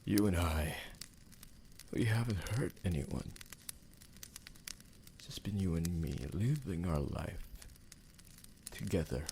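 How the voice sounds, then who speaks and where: A man playing an ancient, possessive and refined male vampire lover in an intimate ASMR roleplay, speaking in formal, theatrical phrases with pauses. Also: low voice, expressive, creaky, mellow, slight background noise, exaggerated voice. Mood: brooding, protective.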